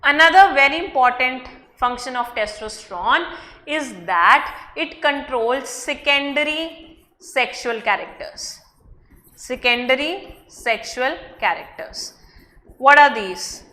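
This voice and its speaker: A woman's voice: sits high, sharp tone. A young woman with an Indian English accent teaching a biology class online, explaining step by step and checking in often with okay and right.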